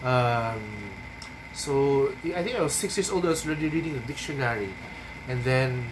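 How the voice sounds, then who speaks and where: Filipino man with clear room hum. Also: mid-range voice. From Philippines. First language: Cebuano. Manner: unhurried, thoughtful, frequent ums and tag "no?". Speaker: writing coach. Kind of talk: casual advice talk to camera. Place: small room at home, indoors. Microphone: webcam at desk.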